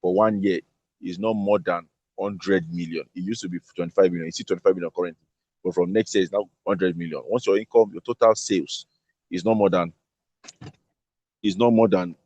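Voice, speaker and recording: A man's voice: low voice, steady tone, brisk, dark tone, dry recording, lo-fi sound. A man explaining tax rules to an online audience, often repeating words as he searches for them. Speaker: tax speaker.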